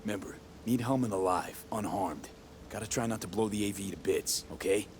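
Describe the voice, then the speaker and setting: A wary, practical male mercenary, played by an actor, asking pointed questions in plain words. His voice sits fairly low, with faint background noise under the game dialogue. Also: a little fast, a little mellow, lightly acted. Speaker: game protagonist.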